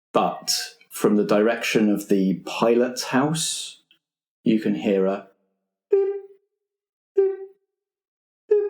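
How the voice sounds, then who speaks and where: A man's voice: on the low side, a little mellow. A man acting as game master, narrating a tabletop roleplaying game in descriptive scene-setting language. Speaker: game master and podcast host. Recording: roomy sound with music underneath.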